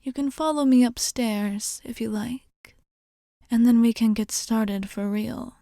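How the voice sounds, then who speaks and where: A woman voicing a gentle, courteous vampire bartender in an ASMR roleplay, speaking in a soft, breathy, near-whispered voice with polite, formal reassurance. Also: on the high side, no echo, clean studio sound.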